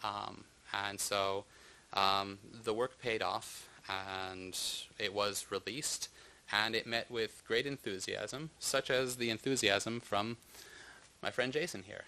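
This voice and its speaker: A man in his thirties speaks to an audience in a low, smooth voice with a bright edge. He talks earnestly but haltingly, filling pauses with ums. His delivery stays flat in pitch throughout. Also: rough recording. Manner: hesitant, many ums, earnest. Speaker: author of a children's book on war. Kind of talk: formal talk to an audience.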